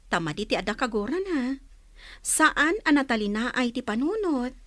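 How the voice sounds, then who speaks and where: A woman's voice: fairly high pitch, big pitch swings, moderate pace, fairly bright tone, no room sound. A woman playing an inquisitive, practical, teasing grown daughter in an Ilocano radio drama, with an Ilocano accent. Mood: curious, teasing.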